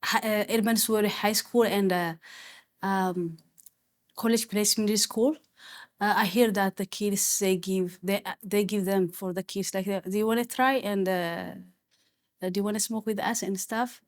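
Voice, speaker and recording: A mother from Ethiopia or Eritrea talks in a community discussion, pausing often and searching for words. Her voice sits at a middling pitch. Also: fairly even intonation. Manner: hesitant, many fillers. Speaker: parent.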